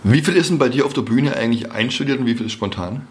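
A man asks his guest brisk, short questions at a fast clip, nudging him along with frequent "mhm" sounds. A faint noise sits behind his voice. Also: medium pitch. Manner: brief prompts and backchannel "mhm". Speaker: interviewer. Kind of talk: interview questions.

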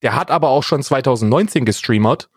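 A man's voice: mid-range voice, fast talker, breathy edge, dry recording, studio quality. A man chatting casually as a podcast co-host in a blunt, colloquial way.

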